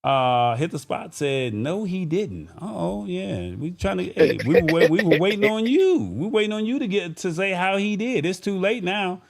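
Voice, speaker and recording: A man's voice: high voice, sing-song, moderate pace, gravelly, few pauses. A man hosting a live-streamed talk show in an informal, conversational way, speaking directly to viewers. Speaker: show host.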